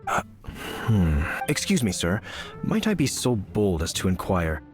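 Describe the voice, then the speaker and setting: Flustered earnest knight in a game, polite n wordy, voice goes up and down a lot. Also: male voice, sits low, wildly swooping pitch, unhurried, music in the background, full character voice. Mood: hesitant. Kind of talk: video game voice acting.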